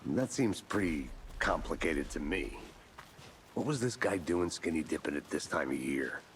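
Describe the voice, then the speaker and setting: An actor playing a dry, skeptical veteran FBI agent in a video game, gravelly and deadpan, sparing with words. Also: male voice, extremely low pitch, normal speed, slight background noise. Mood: skeptical, wry. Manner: deadpan, laconic. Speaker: detective partner character.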